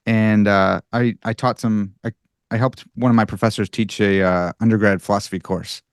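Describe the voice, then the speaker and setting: A man's voice: lowish voice, normal speed, clear, resonant tone, dry recording. Nasal Chicago-accented man, dry sound. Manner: animated, bro-ish, self-deprecating. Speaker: podcast guest, philosopher and writer. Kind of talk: casual conversation.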